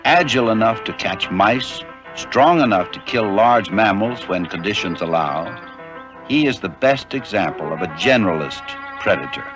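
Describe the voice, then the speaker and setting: A man narrating an educational nature documentary in a measured, informative way. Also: fairly low pitch, music in the background. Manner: measured, even, informative delivery. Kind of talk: scripted narration.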